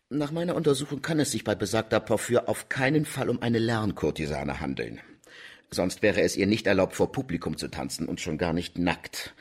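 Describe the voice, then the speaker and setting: An actor playing a formal, precise, deferential city official in a radio drama. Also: male voice, lowish voice, slightly rough. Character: wiry small official, formal, dutiful, matter-of-fact investigator.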